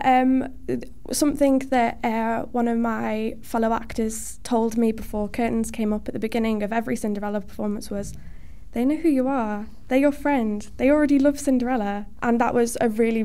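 Female performer, fairly high voice, soft mellow tone, casual interview answers. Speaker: drama student, performer, interview guest.

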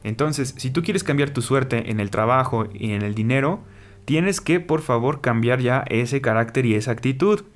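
A man's voice: mid-range voice, lively intonation, moderate pace. A man giving an earnest tarot reading, repeating his advice and pleading directly with his viewers. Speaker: tarot reader. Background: soft background music.

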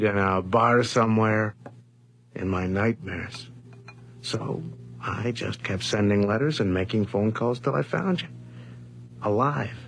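A nervous, scheming crook in a radio drama. His voice sits fairly low and he confesses haltingly, over faint noise. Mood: uneasy, pleading. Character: nervous, scheming small-time criminal, stealer of identity.

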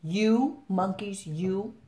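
A woman voicing an angry, exasperated male cap peddler in a children's storybook read-aloud, scolding in emphatic, halting phrases. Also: medium pitch, warm tone, voice pushed up. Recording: echoey room.

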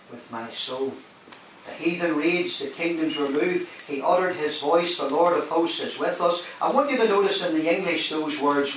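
A man's voice: a little high, a bit bright. A man preaching a sermon in a large hall, emphatic, rising and dropping his voice and questioning the congregation. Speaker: guest preacher. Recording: very echoey hall, mic at a distance, faint hiss.

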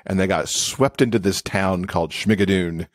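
Low-pitched enthusiastic man chatting as a guest. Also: moderate pace, no echo, clean studio sound. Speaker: podcast guest. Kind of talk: casual chat.

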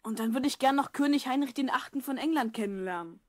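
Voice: high voice, fast, no echo, studio quality, close to an everyday voice. An actor voicing an eager, curious twelve-year-old boy in a German children's audio drama. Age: child figure, twelve.

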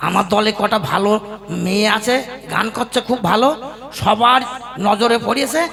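A man's voice: high voice, moderate pace, creaky. A man playing an ageing, scheming troupe-master husband in a comic puppet play, coaxing with exaggerated sweet talk. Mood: worried.

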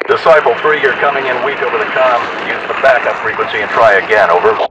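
Casting: man as steady, matter-of-fact soldier, mid-pitched, radio-filtered, very noisy distant transmission. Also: fast, lo-fi sound. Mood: commanding. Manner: procedural, matter-of-fact, terse. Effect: military radio filter. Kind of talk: clipped military radio chatter.

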